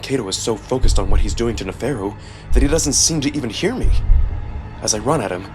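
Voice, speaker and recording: A male narrator performs dramatic first-person fiction in a mid-pitched voice. His reading is expressive and suspenseful, set over a music bed. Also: fast.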